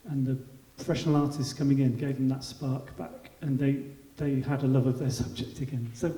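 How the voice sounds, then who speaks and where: A man's voice: medium pitch, fairly even intonation, fairly quick, warm tone. A British man on a panel speaking in an earnest, conversational way. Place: large hall. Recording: echoey hall.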